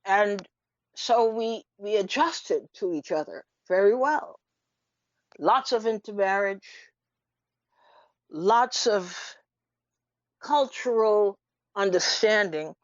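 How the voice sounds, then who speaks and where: A woman hosts a radio talk show in dull, lo-fi sound. She speaks slowly and emphatically, posing rhetorical questions and leaving deliberate pauses. The recording is dry, with very little room around her voice. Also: medium pitch, no room sound. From Trinidad. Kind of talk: unscripted radio commentary.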